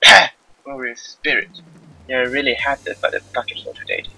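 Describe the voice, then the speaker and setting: A man voicing a prickly young warrior girl from a visual novel, huffy and exclaiming, with a husky voice. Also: medium pitch, steady tone, moderate pace, bright, forceful tone, dry recording, heavily acted, rough recording. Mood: disgusted, huffy.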